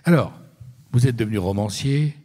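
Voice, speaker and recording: Male interviewer, husky, mellow, finishes guest's sentences, long hall reverb. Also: mid-range voice, moderate pace. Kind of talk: public onstage interview.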